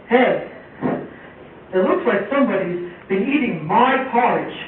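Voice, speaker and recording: A man's voice: high-pitched, expressive, unhurried. A man voicing a protective, scolding father bear in a children's puppet show, in an exaggerated manner. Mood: indignant. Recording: very echoey, tinny, distant, faint noise.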